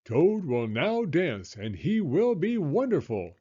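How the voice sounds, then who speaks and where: A strange, grand storybook announcer, performed by a man, proclaiming to a theater. The pitch is raised and sweeping, the delivery drawn out. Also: wildly swooping pitch, slow, warm tone, slightly rough, muffled recording, exaggerated voice. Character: strange, grand stage announcer voice from far away.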